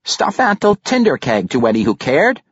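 Small male halfling fighter, high and formal, as a narrator puts him on. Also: wildly swooping pitch, normal speed, husky, dry recording, lo-fi sound. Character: male halfling warrior, commanding and dry.

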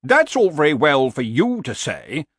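A man's voice: on the high side, sing-song, a little slow, a bit bright, slightly rough, no room sound, clean studio sound, voice pushed up, full character voice. A man voicing a talking horse, a fretful and sensible companion who nags and reasons in a plaintive way, in a storybook reading. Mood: complaining.

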